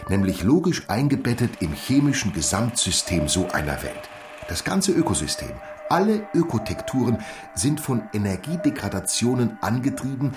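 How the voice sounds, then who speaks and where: A man playing a learned, patient and pedantic teacher in a German radio drama, lecturing with deliberate, professorial emphasis. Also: lowish voice.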